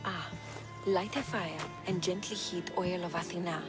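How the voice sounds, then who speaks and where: A woman's voice: on the low side, steady tone, measured pace, music in the background, natural voice. An actress playing an anxious, reluctant enslaved shop woman of ancient Greece in a video game. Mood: resigned. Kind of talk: video game cutscene acting.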